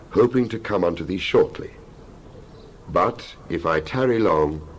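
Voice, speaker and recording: A man reading scripture aloud in a dramatized style, solemn and declamatory, in measured phrases. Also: low-pitched, breathy edge. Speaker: Bible reader.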